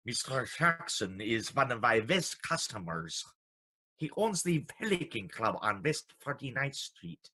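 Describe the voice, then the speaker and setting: An actor playing a sweet, chatty, genial old clockmaker in a vintage-style radio play. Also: male voice, medium pitch, very animated, pushed and bright, slightly rough, full character voice. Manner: genial, chuckling, deliberate shopkeeper politeness. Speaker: actor in live radio theatre.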